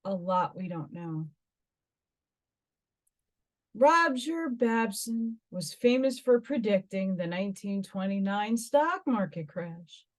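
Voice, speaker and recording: A woman's voice: medium pitch, animated, takes it slowly. An American woman from New York hosting a vlog, reading articles aloud and breaking off into musing, dramatic asides. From New York, United States. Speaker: host of a metaphysical vlog. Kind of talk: reading articles aloud with rambling personal commentary.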